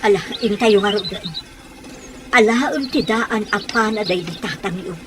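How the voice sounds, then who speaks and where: A woman's voice: mid-range voice, moderate pace, noisy background, lightly acted. A woman playing a worried young daughter hiding in the forest in a radio drama. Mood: worried, alert.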